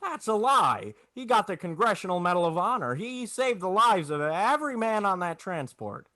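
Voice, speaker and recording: A man playing a desperate family man in an old-time radio drama, pleading in a halting stammer. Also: high-pitched, big pitch swings, bright, forceful tone, heavily acted. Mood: desperate, angry. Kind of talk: 1940s radio drama acting.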